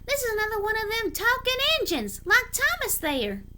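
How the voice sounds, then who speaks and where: A woman voicing a feisty little girl pony in a story, folksy, excitable and protesting. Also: very high voice, moderate pace, voice pushed up, heavily acted.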